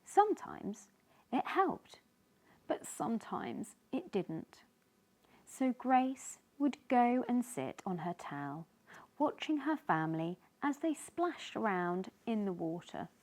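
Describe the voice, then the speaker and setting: Female children's story reader. Animated, swooping delivery at a leisurely pace. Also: fairly high pitch. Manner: slow, animated, speaking to young children. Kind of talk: expressive read-aloud of a children's picture book.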